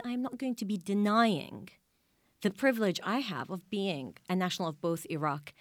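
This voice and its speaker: A woman's voice: lowish voice, dry recording. A woman born in Sweden, a guest on a studio discussion, making firm and precise points. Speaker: panel guest.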